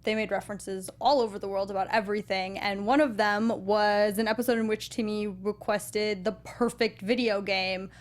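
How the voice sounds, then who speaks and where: Woman, podcast co-host, easygoing and agreeable, mid pitch. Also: normal speed, hardly any pauses. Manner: relaxed, agreeable, hedging with "I mean". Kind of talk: casual chat.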